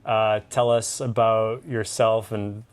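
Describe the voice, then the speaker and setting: A man's voice: lowish voice, flat delivery, slow pace, clear, resonant tone. A man hosting a gym podcast, easygoing and encouraging, asking questions with frequent mm-hmms and restarts. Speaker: podcast host, gym coach. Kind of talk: casual interview chat.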